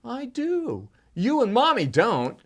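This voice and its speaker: A man voicing a young boy who is upset over his pet duck, speaking in a shrill, pleading way. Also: wildly swooping pitch, slow, heavily acted. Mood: stubborn.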